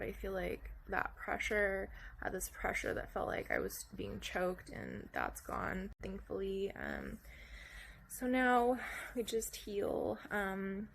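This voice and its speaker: A young woman at home giving a soft, halting personal update, her voice hoarse and raspy after surgery, with many pauses and frequent throat-clearing. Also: medium pitch, a little hiss or hum. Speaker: vlogger sharing her recovery. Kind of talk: casual personal update to camera.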